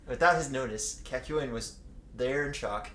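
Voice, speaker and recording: A man's voice: mid-range voice, measured pace, a bit bright. A man casually reading fan fiction aloud in a crude, jokey way, often breaking into banter. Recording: roomy echo, faint background noise.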